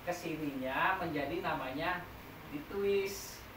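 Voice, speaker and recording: A man leads a massage therapy class, explaining step by step and throwing questions at the students. His voice sits a little high. It comes from across an echoey, noisy room, well away from the mic. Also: slow pace, far from the mic. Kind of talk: teaching a training class.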